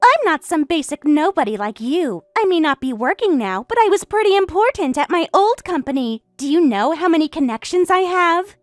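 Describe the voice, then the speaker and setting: A woman playing a shrill, smug home-wrecker. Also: sing-song, normal speed, hardly any pauses, no echo. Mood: boastful. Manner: taunting, boastful, indignant. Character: smug, catty young woman who stole her best friend's husband. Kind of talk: voiced story drama.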